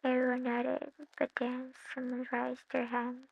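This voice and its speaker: A woman whose first language is not English, slowly reading a fan-fiction story aloud with occasional slips, on a slightly dull recording. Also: high voice, monotone, creaky, slightly rough. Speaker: narrator.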